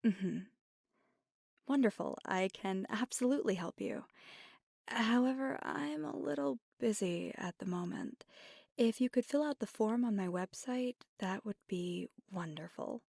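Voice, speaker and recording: A woman plays a playful, affectionate witch girlfriend in an ASMR audio roleplay. Her voice is soft and breathy with a gravelly fry, often dropping toward a whisper. She takes her time as she confides in the listener, and the sound is dry and intimate. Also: medium pitch, a little slow, no room sound. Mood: polite, businesslike.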